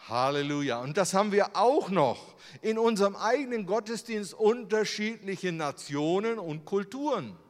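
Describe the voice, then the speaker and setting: German man, preacher, animated voice, a bit higher pitch, long hall reverb, rhetorical questions. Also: moderate pace, few pauses. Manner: conversational, asks rhetorical questions. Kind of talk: sermon with slides.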